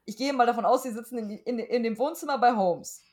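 A woman's voice: on the high side, a little fast, a little mellow. A woman co-hosting a podcast in loose, casual conversation. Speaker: podcast co-host.

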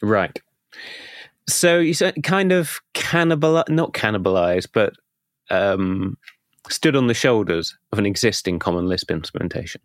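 Male podcast host with curious questions and a lively, swinging pitch, recorded on a dry, close mic. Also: measured pace, no room sound. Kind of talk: casual interview.

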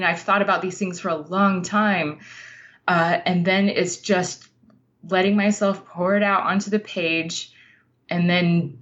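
Female interview guest, lower register, measured conversational explaining, slightly dull lo-fi recording. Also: fairly bright tone.

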